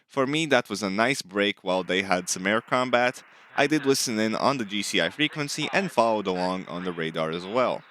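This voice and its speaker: A man's voice: fairly low pitch, lively intonation, fairly quick, fairly bright tone, a little hiss or hum. A man giving a scripted voice-over narration, recapping his own gameplay as a flight sim hobbyist.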